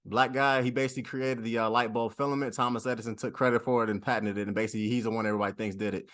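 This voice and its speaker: A man's voice: medium pitch, level tone, rapid-fire, fairly bright tone. A man hosting a podcast, talking casually with many fillers and repeats. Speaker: podcast host. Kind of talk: casual interview chat.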